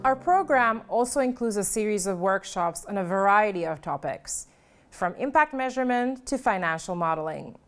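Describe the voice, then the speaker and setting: A woman's voice: medium pitch, animated, normal speed, soft background music. A woman presenting an event as host in a scripted style.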